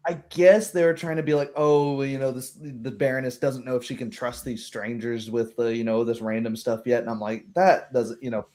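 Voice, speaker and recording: A man talks casually as a game master, in a conversational and animated way. He slips into quoted asides as he explains his thinking about a tabletop game. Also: medium pitch, normal speed, hardly any pauses.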